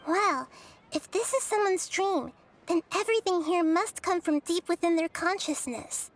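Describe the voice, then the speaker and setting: A woman voicing a gentle, wise, childlike young goddess in a video game, speaking softly in a measured, thoughtful, explanatory way. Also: very high-pitched. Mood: thoughtful, instructive. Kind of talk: English video game dub acting.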